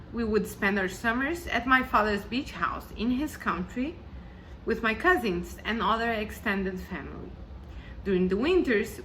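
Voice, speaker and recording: A woman's voice: mid-range voice, a bit bright, a little hiss or hum, low-quality recording. A woman raised in Argentina and Brazil, with a South American accent and Spanish as her first language, giving a prepared, reflective talk as a guest speaker. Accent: South American Spanish and Portuguese. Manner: earnest, carefully read, reflective.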